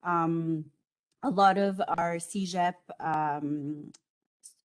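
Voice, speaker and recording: A woman from student affairs explaining program rules on a video call, clear and slightly halting. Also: lowish voice, steady tone.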